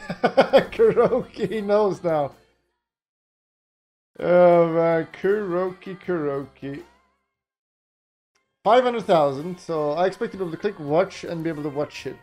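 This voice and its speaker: Man, higher-pitched voice, casual livestream reaction, blunt, exasperated, sweary, music in the background. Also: takes it slowly, long pauses, darkish tone. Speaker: live streamer reacting to a tournament broadcast. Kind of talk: casual livestream chat and commentary.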